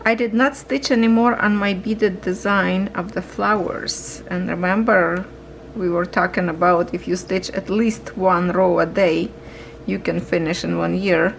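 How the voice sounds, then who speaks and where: Slow-talking woman with a gravelly, kinda hoarse voice, chatting to viewers while she stitches, faint hiss behind. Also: lowish voice, fairly even intonation, poor audio. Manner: slow, musing, talks to viewers while working. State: losing her voice, coughing. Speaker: cross-stitch vlogger. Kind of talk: casual chat while stitching.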